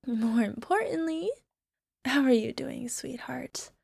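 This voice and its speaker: A woman's voice: high-pitched, vocal fry, darkish tone, slightly rough, full character voice. A woman playing a bubbly young alchemist who turns obsessively lovestruck, in a hesitant, giggly ASMR roleplay manner that slips into whispers. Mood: giddy, flustered. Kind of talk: ASMR girlfriend roleplay audio drama.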